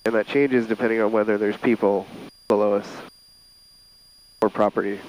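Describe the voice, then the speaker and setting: A man's voice: on the low side, fairly even intonation, moderate pace, darkish tone, a little hiss or hum, rough recording. A man speaking casually as a pilot, explaining flying to his passenger over a headset in a small plane's cockpit. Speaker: private pilot and vlogger. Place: inside a small airplane cockpit in flight.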